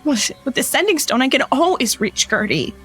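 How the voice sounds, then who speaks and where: A woman playing a sweet, distraught working mother in an audio drama, speaking in an earnest, halting, fretful way. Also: high voice, very animated, fairly bright tone, faint music behind. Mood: upset, explaining. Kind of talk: immersive audio drama acting.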